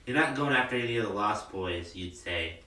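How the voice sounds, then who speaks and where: A man's voice: on the low side, lively intonation, brisk, bright, forceful tone. Distant, roomy-sounding man chatting casually. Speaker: podcast co-host. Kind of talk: casual chat. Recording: roomy, distant mic, faint noise.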